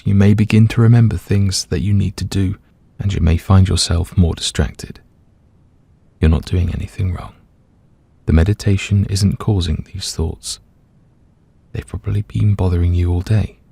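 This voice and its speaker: A man gently and calmly leading a scripted guided meditation, letting his voice soften at the ends of phrases. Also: deep voice, moderate pace, creaky, husky, dry recording. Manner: gentle, calm, soothing, hushed at phrase ends.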